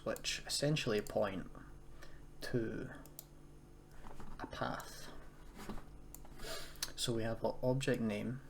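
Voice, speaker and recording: A man with a fairly low voice talks through a software tutorial in a casual, unscripted manner. He is hesitant as he explains each step. Light noise and some keyboard typing sit behind him. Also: creaky. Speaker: tutorial presenter. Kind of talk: casual screen-recorded tutorial. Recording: noisy home recording, keyboard typing.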